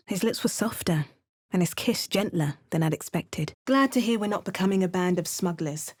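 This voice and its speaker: An actress voicing a sardonic female adventurer in a game, delivering dry, sarcastic quips and calm narration. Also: on the low side, moderate pace, no echo. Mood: wry. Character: sardonic adult female adventurer, wry and unflappable. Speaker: player character voice actor.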